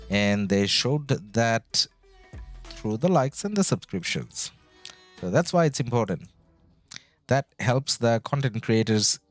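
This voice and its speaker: A man from Bangladesh, a native Bengali speaker, rambles casually while streaming a strategy game and answering chat. His voice sits fairly low, with soft music playing behind him. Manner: chatty, rambling, reads out chat messages. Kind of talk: casual live-stream commentary. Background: background music.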